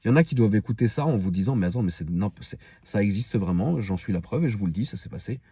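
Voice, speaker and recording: A man's voice: on the low side, lively intonation, quick pace, warm tone, husky, dry recording. A man in his thirties with a Parisian French accent, rambling frankly and casually in slang to his online followers. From Paris, France. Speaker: online video creator. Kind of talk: casual personal storytelling to followers.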